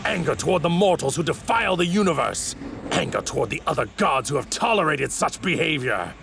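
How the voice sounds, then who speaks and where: An actor playing an arrogant, wrathful god in a stolen warrior's body, with haughty, theatrical, grandiose delivery in an anime dub. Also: male voice, fairly high pitch, lively intonation, brisk, music in the background. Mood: cold wrath. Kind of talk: anime dub villain acting. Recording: clearly noisy audio ripped from anime.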